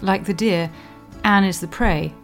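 A woman presenting a scripted history explainer in a measured, scholarly manner, giving emphasis to quotations. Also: medium pitch, over loud music. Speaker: podcast host, historian.

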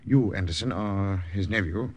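Low-voiced, commanding spymaster actor. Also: male voice, normal speed, slight background noise, natural voice. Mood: brisk, authoritative. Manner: commanding, precise. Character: crisp, authoritative spymaster briefing agents. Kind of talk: 1950s radio drama acting.

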